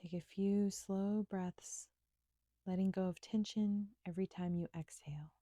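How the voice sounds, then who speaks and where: A woman instructor leading a guided meditation in a gentle, soothing, unhurried manner with pauses. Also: medium pitch, fairly even intonation, dry recording, studio quality.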